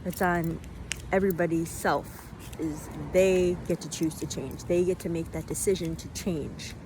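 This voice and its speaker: A woman giving an unscripted motivational monologue in a reflective way, in halting phrases with deliberate pauses. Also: fairly low pitch, fairly even intonation. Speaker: vlogger giving self-help reflections. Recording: noisy, vehicle-like ambient sound.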